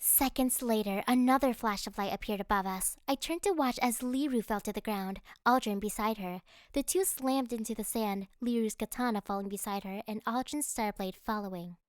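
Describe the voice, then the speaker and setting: A woman's voice: fairly high pitch, normal speed, few pauses, dry recording, studio quality. A woman reading scripted first-person fantasy narration as an audiobook narrator.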